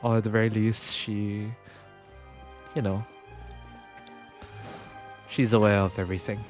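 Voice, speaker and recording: A man's voice: fairly low pitch, little pitch movement, a little slow, darkish tone, faint music behind. A man giving casual, muttered gameplay commentary as a streamer, with sighs and swearing. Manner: muttering, sweary, sighing reactions. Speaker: game streamer.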